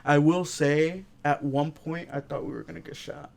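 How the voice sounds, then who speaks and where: Higher-voiced guy, creaky, casual talker. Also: fairly even intonation, moderate pace, darkish tone. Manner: informal, slangy. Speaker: podcast co-host reviewing films. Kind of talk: casual chat and storytelling.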